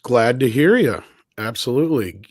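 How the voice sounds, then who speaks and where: A man's voice: mid-range voice, lively intonation, moderate pace, no echo, clean studio sound. A man hosting a casual live panel chat, talking off the cuff with viewers and co-hosts. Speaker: host.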